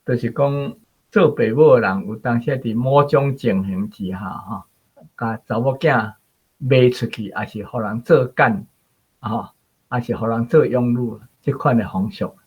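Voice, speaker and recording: 一位台灣口音的男性文學評論者，以閒談口吻解說故事，嗓音沙啞。. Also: male voice, medium pitch, unhurried, breathy edge, muffled recording. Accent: Taiwanese. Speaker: literary commentator. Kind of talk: conversational explanation of a story.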